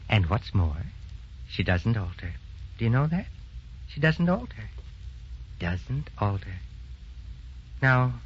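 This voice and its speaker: A man's voice: mid-range voice, a little hiss or hum. An actor playing a forgetful old grandfather who dotes on his wife, testy and rambling, in a sentimental radio drama. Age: older. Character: feeble, forgetful old grandfather, doting husband. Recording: muffled old radio recording with music.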